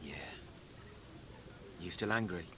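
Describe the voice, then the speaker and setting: A man's voice: deep voice, takes it slowly, sharp tone, a little hiss or hum, exaggerated voice. An actor playing a subdued, regretful father in a radio soap.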